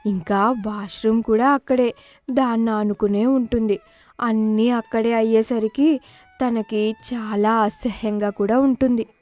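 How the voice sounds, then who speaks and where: A woman's voice: a little high, fairly even intonation, unhurried, darkish tone. A woman narrating a scripted moral story as a storyteller.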